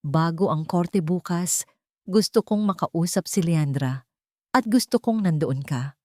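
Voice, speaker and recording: Woman voicing a calm, dignified old grandmother. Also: fairly low pitch, measured pace, no echo, studio quality, lightly acted. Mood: quietly resolute. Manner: calm but clear. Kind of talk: audio story drama.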